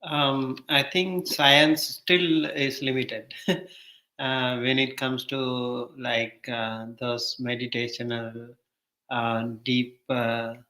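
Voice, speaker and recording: A man with an Indian accent, a monk who answers slowly and hesitantly with many pauses. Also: medium pitch, little pitch movement, bright, forceful tone, echoey room, low-quality recording.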